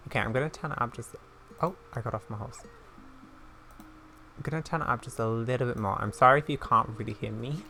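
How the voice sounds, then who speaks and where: A woman's voice: extremely low pitch, brisk, long pauses, sharp tone, soft background music. A woman doing chatty let's-play commentary, exclaiming and talking to the characters on screen. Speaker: gaming video creator. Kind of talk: let's-play commentary, reading game dialogue aloud. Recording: clear background noise with game ambience.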